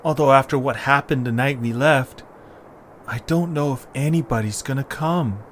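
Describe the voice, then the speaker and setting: A man voicing a small, cautious, food-loving male creature, earnest and expressive in an amateur audio drama. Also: medium pitch, moderate pace, close to an everyday voice. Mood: worried. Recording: background noise, ambience.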